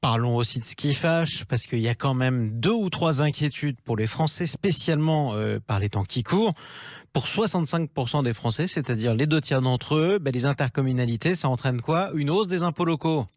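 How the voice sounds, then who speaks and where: A man's voice: medium pitch, expressive, moderate pace, dry recording, studio quality. A man interviewing a guest on radio in a conversational, questioning style. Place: dry radio studio.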